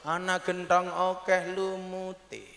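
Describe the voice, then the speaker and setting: Male preacher working a crowd with jokes, high bright voice, big reverberant hall. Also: monotone, slow, creaky. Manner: joking, teasing the audience, switching into regional dialect for punchlines. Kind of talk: comic religious sermon to a crowd. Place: large hall or outdoor stage at a mosque opening. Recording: echoey hall with long reverb.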